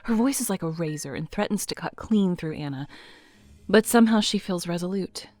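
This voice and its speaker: Woman narrator, fairly low voice, measured dramatic storytelling, faint music behind. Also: expressive, a little mellow. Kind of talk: scripted audio drama narration.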